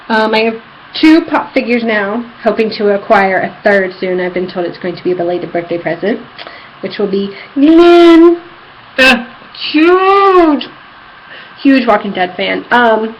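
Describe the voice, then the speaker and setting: A woman's voice: a little high, lively intonation, takes it slowly. Woman vlogger with dull lo-fi sound. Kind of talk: casual rambling personal vlog. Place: apartment room. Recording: dull lo-fi home recording, background noise.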